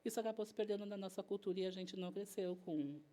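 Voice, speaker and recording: A woman with a southern Brazilian accent talks as a guest chef in a relaxed interview. Her voice is mellow and warm, at an ordinary pitch for a woman. She tells her stories chattily and slips into the voices of the people in them. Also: fast talker. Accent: southern Brazilian Portuguese. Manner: chatty, retells events by acting out dialogue. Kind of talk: casual interview storytelling.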